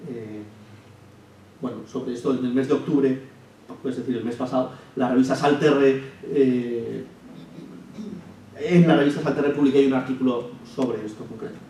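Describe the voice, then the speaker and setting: A man giving a public lecture, measured and thinking aloud with frequent restarts and hesitations. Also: mid-range voice, fairly even intonation, mellow. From Spain. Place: lecture hall. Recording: echoey hall, from far off, faint hiss.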